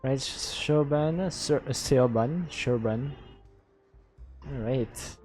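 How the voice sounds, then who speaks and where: A man's voice: medium pitch, drawn-out words, a little mellow, soft background music. A man with a Filipino accent giving casual, playful commentary on a game livestream. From Philippines. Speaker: livestream gamer.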